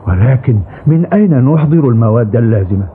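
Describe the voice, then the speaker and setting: Cartoon old boatman, played by a man: fretful and devout, expressive swings, tinny sound with faint noise. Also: mid-range voice, fast, close to an everyday voice. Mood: resigned. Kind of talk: dubbed cartoon acting. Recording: tinny band, background noise.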